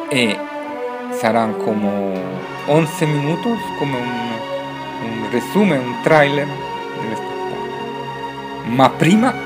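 A male radio presenter with a Spanish accent speaks at a slow pace. He hesitates and searches for words, slipping between languages. Music plays clearly behind him. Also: medium pitch. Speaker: radio host. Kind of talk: live radio presenting in halting Italian mixed with Spanish.

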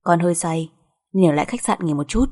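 A woman's voice: on the low side, lively intonation, fairly quick, warm tone, slightly rough. A woman voicing a cool, impatient husband who speaks bluntly and tersely in an audio drama. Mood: calm, offhand. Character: cool, brusque, impatient husband, a grown man.

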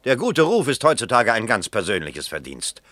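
An actor playing an ambitious, touchy middle-aged bourgeois would-be politician in a 1960s German radio play, emphatic and self-important. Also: male voice, medium pitch, wildly swooping pitch, moderate pace, bright, forceful tone, dry recording, full character voice. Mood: indignant.

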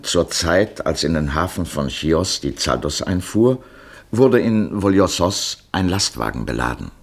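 Male narrator for literary radio drama, very low husky voice, scripted storytelling.

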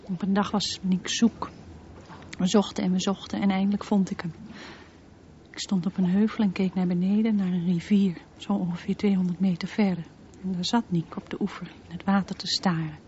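A woman's voice: medium pitch, steady tone, normal speed, warm tone, close to an everyday voice. An actress playing a haunted mother confiding memories of her dangerous son in a radio drama monologue. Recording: muffled radio play recording, slight noise.

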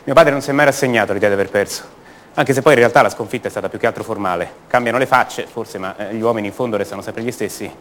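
A man's voice: lowish voice, fairly bright tone, a little hiss or hum, natural voice. An actor playing a restless, defensive young man from a privileged family in a radio monologue, hesitating and repeating words. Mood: bitter, resigned. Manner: hesitant, halting, repeats words, rhetorical tags. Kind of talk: theatrical monologue, radio drama.

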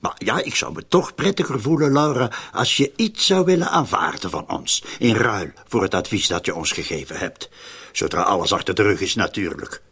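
Husky, uneasy criminal plotter. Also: male voice, fairly low pitch, very animated, moderate pace, a bit bright, exaggerated voice. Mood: uneasy, insistent. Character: uneasy, suspicious male criminal plotter, brooding over a murder. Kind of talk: Dutch-language radio play acting.

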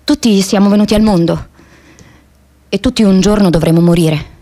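An actress playing a restless, wry young American woman activist in an expressive, introspective radio drama monologue. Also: medium pitch, moderate pace, lightly acted. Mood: earnest, reflective. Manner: expressive, introspective, shifts between playful and wistful.

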